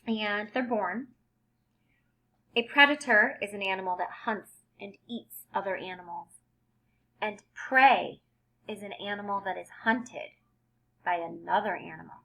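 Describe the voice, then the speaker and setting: A woman reading aloud as a kindergarten teacher, deliberate and expressive, explaining words in simple terms for young children. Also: medium pitch, poor audio.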